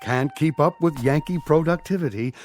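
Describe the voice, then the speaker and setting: A man's voice: on the high side, normal speed, a little mellow, faint music behind, natural voice. An actor playing an eager, wheedling luxury salesman in a radio drama. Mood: pushy, upbeat.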